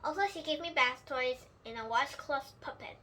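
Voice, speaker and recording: Very high, bright female storybook reader. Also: moderate pace, slight background noise. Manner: stumbles and rereads words. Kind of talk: children's book read-aloud.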